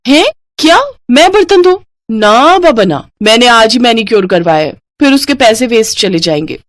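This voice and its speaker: A woman's voice: on the high side, lively intonation, normal speed, no room sound, clean studio sound, close to an everyday voice. A woman voicing a lazy, pampered younger sister-in-law, whiny, cheeky and defiant, in a family moral story. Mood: whining refusal.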